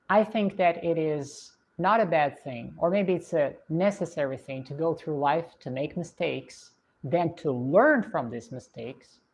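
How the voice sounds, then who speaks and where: A woman from Russia with a Russian accent, hosting a livestream and chatting in a reflective, unhurried way with plenty of fillers. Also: lowish voice. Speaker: livestream host. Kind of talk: casual livestream chat reading viewer comments. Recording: dull lo-fi stream audio.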